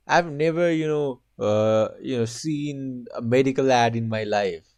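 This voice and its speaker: A man from Nepal reacts to a video in casual, animated talk, full of "you know" and quick exclamations. His voice sits at an average pitch for a man.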